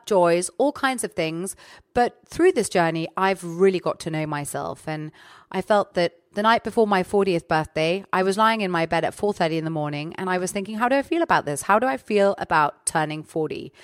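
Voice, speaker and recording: A woman in her forties talks in an earnest, reflective way about feeling good in herself. Her voice sits at a middle pitch, and the recording is close and dry with no room sound. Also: clean tone, dry recording. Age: 40s. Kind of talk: casual chat.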